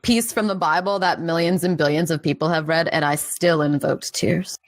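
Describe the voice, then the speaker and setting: A woman's voice: low-pitched, steady tone, moderate pace. A young woman co-hosting a podcast in casual banter, chatty and sardonic. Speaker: podcast co-host.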